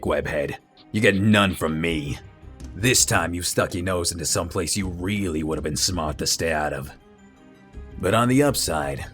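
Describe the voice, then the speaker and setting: A man's voice: deep voice, fairly bright tone. A man playing a gloating, wisecracking supervillain henchman in a comic dub, smug and taunting.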